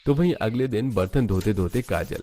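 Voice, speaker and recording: A man's voice: on the low side, moderate pace, dark tone. A man narrating a scripted story as its storyteller. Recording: music bed under voice. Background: background music.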